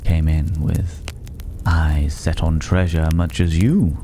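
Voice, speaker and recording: Man playing a very deep-voiced, slow, mysterious stranger. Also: steady tone, mellow, a little hiss or hum, exaggerated voice. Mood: reflective, intrigued. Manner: unhurried, pensive, old-fashioned formal phrasing. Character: mysterious, courteous male stranger living in a dragon's cave. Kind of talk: intimate ASMR fantasy roleplay.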